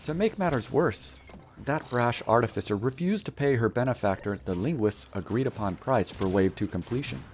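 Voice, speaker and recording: A man's voice: mid-range voice, animated, moderate pace, darkish tone, a little hiss or hum. A man delivering scripted mock-epic narration about a video game in a grandiose, theatrical, mock-solemn manner. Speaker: video storyteller and gamer.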